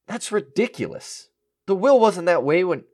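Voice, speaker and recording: An actor playing a smug, scheming murderer after an inheritance in an audio drama, speaking theatrically. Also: male voice, sits high, big pitch swings, moderate pace, a little mellow. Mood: indignant. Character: scheming murderous male heir, smug, feigning grief.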